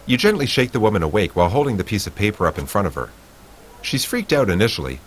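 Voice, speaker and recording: A low-voiced man reads a choice-based text game aloud, playful and animated, with his pitch rising and falling a lot. A faint hum of room sound sits under his voice. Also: quick pace. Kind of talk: reading game text with asides.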